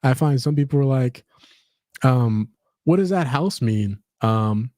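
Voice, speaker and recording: Casting: a mellow-toned male podcast host, rambling and full of restarts, recorded dry. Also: medium pitch, expressive, unhurried, no room sound. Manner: rambling, many fillers and restarts. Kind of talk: casual chat.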